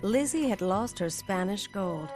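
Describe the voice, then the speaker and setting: A woman's voice: mid-range voice, expressive, a little slow, lightly acted. An actress playing a wry storyteller, narrating a family legend in a video game cutscene with music behind her. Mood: reflective, wry.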